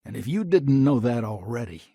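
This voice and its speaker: An actor voicing a proud, stubborn retired patriarch of a frontier founding family in a video game, gruff and folksy, with a gravelly, husky voice. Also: male voice, medium pitch, sing-song, fairly quick, warm tone, dry recording, clean studio sound, heavily acted. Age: older. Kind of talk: video game character dialogue.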